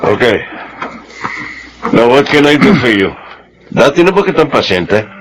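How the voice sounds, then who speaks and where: An absent-minded doctor in a radio drama, offhand and a little bossy even with serious matters. His voice sits at an ordinary male pitch, with faint noise in the recording. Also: a little slow, low-quality recording. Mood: distracted. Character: absent-minded male doctor, bumbling and casual about grave news.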